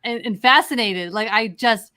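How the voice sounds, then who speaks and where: A woman's voice: a little high, normal speed, bright, forceful tone. A woman hosting a show in a casual, conversational interview style. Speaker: show host.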